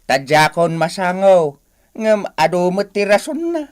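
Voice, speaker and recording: A man's voice: sits high, very animated, unhurried. Grumbling elderly father. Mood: weary, grumbling. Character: weary, complaining elderly father of a family. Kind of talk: radio drama acting.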